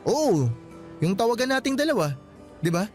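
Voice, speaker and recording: A teasing, affectionate young student boyfriend in a radio play with a fairly high voice. He jokes easily but speaks frankly when he gets serious, with soft music in the background. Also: very animated, normal speed. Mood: playful. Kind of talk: radio drama acting.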